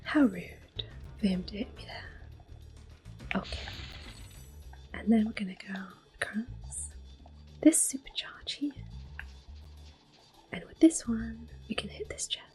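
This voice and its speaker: A woman giving soft-spoken ASMR gameplay commentary in a breathy half-whisper, gently narrating each move. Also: fairly high pitch, expressive, slow, pauses often, soft background music. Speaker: game streamer, host.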